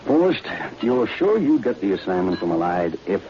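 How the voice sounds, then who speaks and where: A man playing a menacing, calculating party conspirator in 1940s radio style, deliberate and sinister, on a muffled old recording with clear hiss. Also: fairly low pitch, very animated, mellow. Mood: suspicious, calculating.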